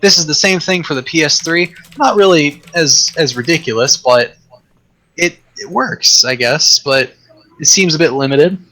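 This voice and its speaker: A man chatting as a guest on a tech podcast, rambling with restarts, his voice getting raspy. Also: on the high side, normal speed, sharp tone. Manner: rambling, many restarts and fillers. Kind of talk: casual tech chat.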